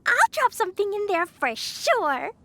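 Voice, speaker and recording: Tiny excitable game sidekick girl; extremely high, piercingly bright squeaky voice. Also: unhurried, no room sound, exaggerated voice. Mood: eager. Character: tiny, excitable, childlike female companion creature. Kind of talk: video game voice acting.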